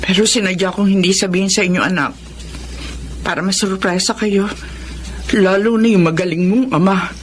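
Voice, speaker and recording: A woman's voice: medium pitch, normal speed, natural voice. A woman acting as a betrayed, long-suffering middle-aged mother in an emotive Filipino radio drama. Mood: firm. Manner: emotive, melodramatic. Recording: noisy ambient background.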